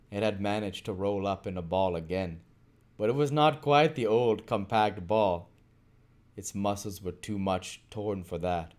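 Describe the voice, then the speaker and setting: A man reading a novel aloud as an amateur audiobook narrator, phrasing deliberately and occasionally stumbling over a word. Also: lowish voice, darkish tone.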